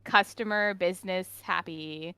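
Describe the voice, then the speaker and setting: A woman's voice: fairly low pitch, steady tone, measured pace, pushed and bright, clean tone, no echo. A woman with an American accent, a chatty and emphatic podcast co-host in casual conversation. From United States. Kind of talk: casual chat.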